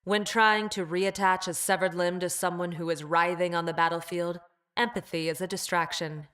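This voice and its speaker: Female android played by a woman, lowish voice, cold, clinical, precise, detached, ruthless scientist, video game character. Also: steady tone, moderate pace, a bit bright, lightly acted. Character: coldly logical female android healer turned ruthless scientist.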